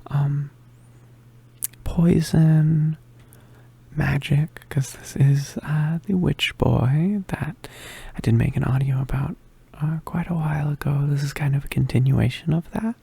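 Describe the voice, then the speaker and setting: An ASMR creator giving a casual, soft-spoken introduction in a hesitant, often whispered and breathy voice. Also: medium pitch, fairly even intonation, unhurried.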